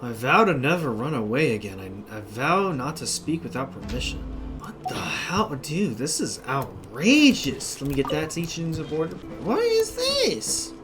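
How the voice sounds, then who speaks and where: A man's voice: a little high, big pitch swings, a little slow. A young man giving casual gameplay commentary in a slangy, reactive manner. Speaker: gameplay streamer. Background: game music.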